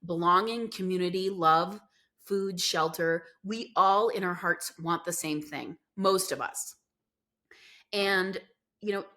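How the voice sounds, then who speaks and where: A woman's voice: medium pitch, fairly bright tone. A middle-aged woman, a podcast guest, telling her life story in an earnest, reflective way with frequent fillers. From United States. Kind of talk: candid conversational storytelling.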